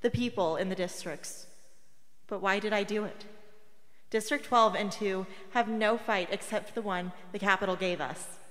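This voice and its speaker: Radio drama: woman playing defiant teenage girl rebel, tired of war, bright edgy voice, long echo. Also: medium pitch, moderate pace, close to an everyday voice. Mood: defiant, weary. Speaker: actor in a radio play. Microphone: close studio broadcast microphone. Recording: long hall reverb.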